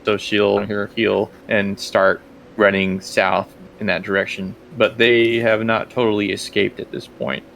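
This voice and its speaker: A man's voice: fairly low pitch, flat delivery, measured pace, vocal fry, fairly bright tone, a little hiss or hum. A man working as game master, narrating casually and improvising as he goes, often thinking aloud.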